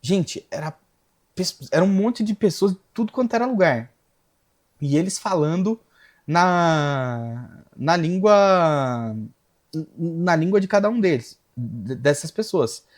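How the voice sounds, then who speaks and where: A man's voice: on the high side, expressive, slow. A Brazilian man giving a scripture lesson as a religious teacher, explaining and repeating key phrases for emphasis. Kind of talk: Bible lesson, reading Hebrew aloud and translating.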